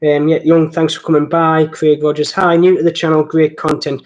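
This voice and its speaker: A man's voice: fairly high pitch, flat delivery, normal speed. A man from north-east England with a Geordie accent, hosting a casual live stream in a rambling, jokey, self-deprecating way as he chats to viewers. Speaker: host of a watch channel live stream.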